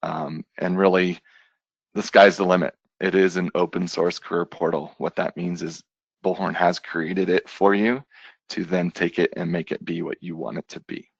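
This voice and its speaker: A man giving a software demo over screen share, talking in a relaxed way with many fillers. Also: deep voice, normal speed, creaky, breathy edge, no room sound. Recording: dry, dull lo-fi audio.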